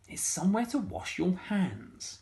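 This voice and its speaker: A brisk, inventive storybook lady muses aloud in slow, drawn-out words, as a man reading the tale puts her on. Her voice lifts a little and swings about with each idea. She leans hard on every phrase. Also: sits high, big pitch swings, vocal fry, darkish tone, voice pushed up, full character voice. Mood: musing. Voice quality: slow, emphatic, pondering delivery.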